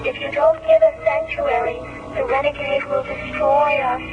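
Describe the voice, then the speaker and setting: A woman's voice: high voice, sing-song, moderate pace. A woman voicing a tiny alien physician who pleads urgently, with an alien transmission effect, in a radio drama. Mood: pleading. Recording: dull, narrow radio transmission under music.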